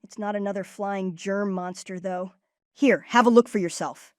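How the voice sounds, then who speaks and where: A woman's voice: medium pitch, moderate pace, lightly acted. A woman voicing an earnest child leader who takes charge, in a cartoon-style comic dub. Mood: serious, explaining. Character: earnest kid leader, Max, organizing friends. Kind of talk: comic dub character acting.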